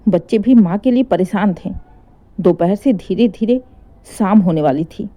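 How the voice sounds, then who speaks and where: A woman's voice: fairly high pitch, dull audio. A woman narrating a scripted emotional family story as a storyteller.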